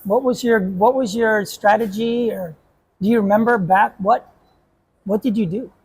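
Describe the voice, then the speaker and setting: A man's voice: high-pitched, normal speed, darkish tone, husky, poor audio. A man, a basketball coach, hosting a casual chat and asking questions with a joking manner and many restarts.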